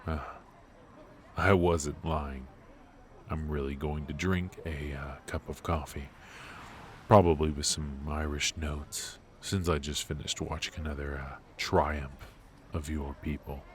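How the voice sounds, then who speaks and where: Casting: male actor as ruthless noir mafia boss, extremely low voice, unhurried, teasing and smug, ASMR roleplay. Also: fairly even intonation, a little hiss or hum. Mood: casual, teasing. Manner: slow, smug, teasing, with drawn-out pauses.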